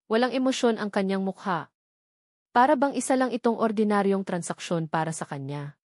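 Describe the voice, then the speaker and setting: A woman's voice: medium pitch, normal speed, a little mellow, dry recording, clean studio sound. A woman narrating a scripted first-person love drama as a storyteller.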